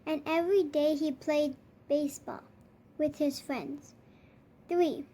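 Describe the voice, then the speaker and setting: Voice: high-pitched, fairly even intonation, takes it slowly, dark tone. A child reading a children's picture book aloud as a storyteller, with faint noise in the recording. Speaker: storybook reader.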